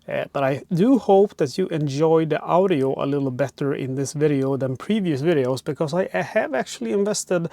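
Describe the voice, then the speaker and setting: A man's voice: a little high, animated, moderate pace, gravelly, hardly any pauses, mellow, no echo. Swedish-accented man chatting in a dry RV. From Sweden. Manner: chatty, rambling with frequent "uh" fillers. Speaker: board game channel host. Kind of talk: casual unboxing chat. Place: cramped RV parked by a harbor. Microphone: wireless clip-on microphone.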